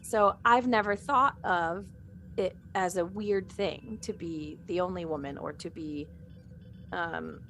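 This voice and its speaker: A woman's voice: fairly low pitch, slight background noise. A woman chatting casually as she moderates a group podcast, with an informal, slightly hesitant, giggly way of talking. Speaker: podcast cast member moderating fan questions.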